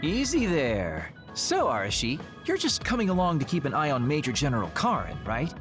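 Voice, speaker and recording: Lively, higher-voiced sly young assassin. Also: male voice, normal speed. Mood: teasing. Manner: glib, teasing, nonchalant. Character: sly, flippant young male spy-assassin. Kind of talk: English video game dub acting. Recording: music behind the voice.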